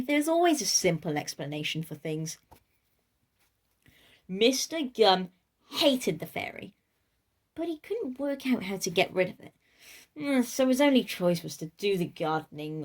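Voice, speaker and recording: A woman reading a children's storybook aloud in a playful, theatrical way, speaking directly to her listeners. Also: mid-range voice. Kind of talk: animated children's storybook narration.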